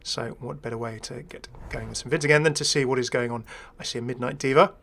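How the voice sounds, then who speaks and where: A man's voice: medium pitch, fairly even intonation, fast, gravelly, fairly bright tone. A man giving casual, rambling first-impressions commentary as a gaming video creator, chatty, enthusiastic and self-deprecating.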